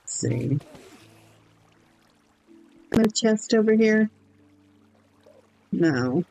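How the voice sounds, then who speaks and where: A man streaming a game in casual chat, hoarse with his voice going in and out, rambling slowly with many pauses and ums. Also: sits high, animated, darkish tone, no room sound, soft background music.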